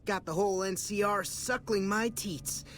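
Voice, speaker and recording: Game slacker technician: boastful and dim, swaggering slangy delivery, faint background noise. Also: male voice, high voice, fairly even intonation, moderate pace, a bit bright. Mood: smug, dreamy. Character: cocky, dim slacker posing as a genius technician. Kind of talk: video game dialogue.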